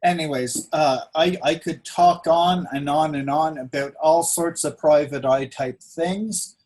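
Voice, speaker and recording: A man's voice: on the high side, measured pace, darkish tone, poor audio. A man hosting an online event over a video call, chatting in a rambling, jokey, casual way. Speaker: event host. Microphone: video call microphone.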